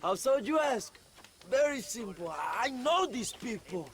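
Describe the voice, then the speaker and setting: Pompous, high-pitched Mexican revolutionary leader. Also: male voice, sing-song, fairly bright tone, slight background noise, heavily acted. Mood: self-important. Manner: pompous, theatrical, halting repeats. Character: grandiose, self-important Mexican revolutionary leader, adult man. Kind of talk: video game cutscene acting.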